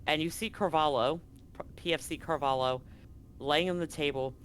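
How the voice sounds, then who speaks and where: A man improvising descriptive narration as game master of a tabletop horror game, in a halting, descriptive way. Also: on the high side, a bit bright, a little hiss or hum.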